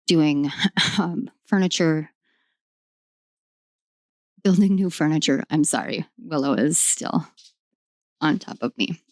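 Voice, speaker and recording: A young woman with a hoarse, raw voice that sits on the lower side, speaking close and dry at home. She rambles fondly through a tarot reading, filling pauses with ums. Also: darkish tone, no echo. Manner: rambling, affectionate, many ums, talks to her pets. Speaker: tarot reader. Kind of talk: casual tarot reading to camera. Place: at home in a room.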